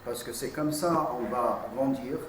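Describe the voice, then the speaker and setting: A man whose first language is English, answering press questions haltingly with many hesitations. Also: mid-range voice. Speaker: insurance company executive. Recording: distant mic in an echoey room with noise.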